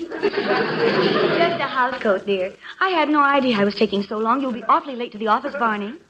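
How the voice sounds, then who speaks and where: High-voiced breezy housewife. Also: female voice, expressive, normal speed, fairly bright tone. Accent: American. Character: breezy, coquettish 1950s housewife and mother. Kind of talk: 1950s radio comedy acting. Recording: muffled, hissy old radio broadcast.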